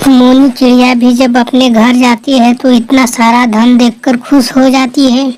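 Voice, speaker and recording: A woman narrator reads a scripted story for children. Her voice is notably high and her pace is slow. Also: level tone, darkish tone, poor audio.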